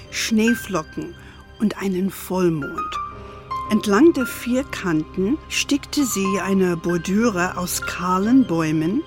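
A gentle, playful grandmother tells her family history as in an audio drama. She speaks slowly, in a measured storybook way, with music clearly playing underneath. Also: medium pitch.

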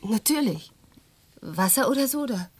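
An actress playing a concerned, tender lover in a radio drama, speaking in an intimate, gently probing way. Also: female voice, a little high, lively intonation, unhurried, husky.

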